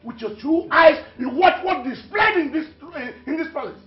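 A man playing an angry, stubborn traditional king who shouts orders. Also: very high-pitched, expressive, normal speed, faint music behind. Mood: furious. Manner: shouting, commanding. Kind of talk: film drama acting. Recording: dull lo-fi audio in a roomy hall.

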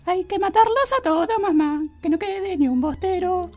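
A man's voice: very high-pitched, normal speed, a little mellow. A man voicing a cheeky, brusque little teddy bear with a sing-song, sarcastic delivery in a comic radio play. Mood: gleeful.

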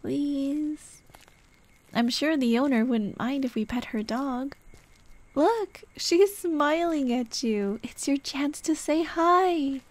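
A woman playing a tender, playful late girlfriend in an ASMR roleplay, speaking in a gentle, coaxing, teasing way. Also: sits high, slow. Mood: eager, pleading. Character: tender, playful dead girlfriend comforting her grieving partner. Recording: clear ambient noise behind. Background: ambient sound effects.

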